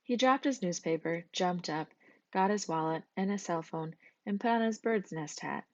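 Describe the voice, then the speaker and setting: A woman's voice: lowish voice, normal speed, no echo, lo-fi sound. A woman reading a scripted children's story as its narrator. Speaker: storybook reader.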